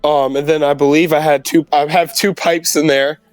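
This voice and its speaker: A man's voice: a little high, little pitch movement, normal speed, no room sound, faint music behind. A man chatting casually as a player in a tabletop role-playing game.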